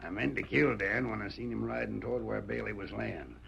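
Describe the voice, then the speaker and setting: An actor playing a scheming, impatient cattle-rustling killer with a gravelly cowboy drawl in an old-time radio western. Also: male voice, fairly low pitch, a little fast, fairly bright tone. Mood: low, secretive. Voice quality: gravelly, creaky. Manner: folksy cowboy drawl with rough grammar. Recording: muffled, noisy vintage radio recording.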